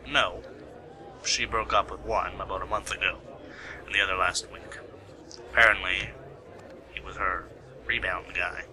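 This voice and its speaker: A man's voice: deep voice, fairly even intonation, measured pace, long pauses, sharp tone, slight background noise, full character voice, poor audio. A man playing a matter-of-fact, mildly teasing police colleague in an amateur radio play.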